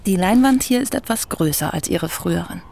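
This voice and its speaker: A woman's voice: fairly low pitch, animated, creaky, darkish tone, slight background noise. A young woman writer, polite and persistent, radio play. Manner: polite, measured questioning. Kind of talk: radio drama acting.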